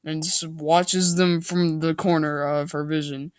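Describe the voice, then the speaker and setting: Man, unhurried, reading amateur fiction badly on purpose. Goofy, mocking, quick to break off and comment. Dry sound. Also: a little high, level tone, clear, resonant tone, dry recording. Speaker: reader and commentator. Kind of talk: mock dramatic reading of amateur fiction with joking asides.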